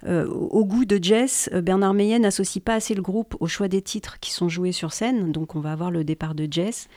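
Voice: medium pitch, moderate pace, darkish tone, no echo. Dry-sounding host guiding a talk. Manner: conversational, guiding the talk with fillers. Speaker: radio host. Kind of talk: radio discussion.

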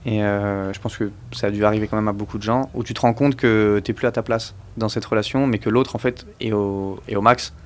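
Young male guest, low register, slangy, rambly. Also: steady tone, brisk, hardly any pauses. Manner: rambling, slangy, full of fillers and restarts. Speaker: musician guest. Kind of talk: casual interview chat.

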